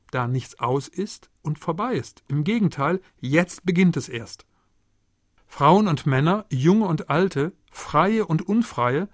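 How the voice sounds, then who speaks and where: A man preaching a measured, earnest Pentecost sermon, asking rhetorical questions as he goes. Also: a little high, no room sound, studio quality. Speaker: preacher, theology lecturer.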